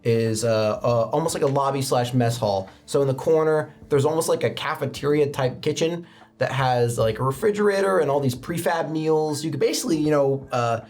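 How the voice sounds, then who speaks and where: A man's voice: mid-range voice, fairly quick, darkish tone. A man running a tabletop roleplaying game, narrating scenes off the cuff in an informal, conversational way. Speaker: game master.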